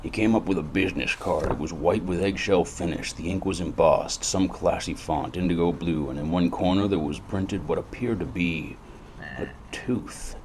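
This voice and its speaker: A man playing a world-weary private detective, speaking in a brooding, deadpan, sardonic noir style. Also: low voice, normal speed, background noise, close to an everyday voice. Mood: sardonic, guarded. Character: gloomy, sardonic hard-boiled male private detective. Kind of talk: hard-boiled noir detective narration and dialogue.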